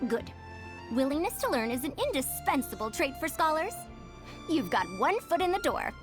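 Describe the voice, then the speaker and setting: A woman's voice: high voice, a bit bright. A woman voicing a proud, fussy century-old scholar with a youthful girlish voice, pompous and lecturing, for a video game. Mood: boastful, teasing. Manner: pompous, lecturing, old-fashioned elder phrasing. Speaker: game character voice. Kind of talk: animated video game voice lines.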